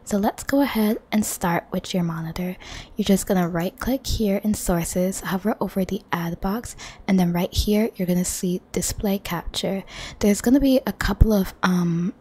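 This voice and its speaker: A woman with a hoarse voice, losing her voice, chatting in a friendly way to viewers as she walks through a computer tutorial on a desktop microphone at home. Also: medium pitch, normal speed, mellow, a little hiss or hum. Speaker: gaming channel host. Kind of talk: casual screen-recording tutorial. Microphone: desktop condenser microphone.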